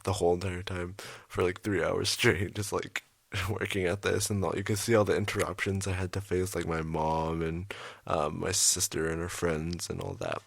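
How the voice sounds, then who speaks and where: A young man hosting his art channel, rambling through a late-night voiceover with lots of ums, speaking quietly and a little raspy. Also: deep voice, flat delivery, moderate pace, dry recording. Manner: rambling, many ums, trailing off. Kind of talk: casual voiceover over a speed drawing. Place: his bedroom at home, at night.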